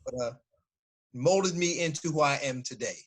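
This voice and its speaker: A man in his forties, a former fighter, telling stories in a relaxed, casual interview and laughing often. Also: a little high, moderate pace. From United States.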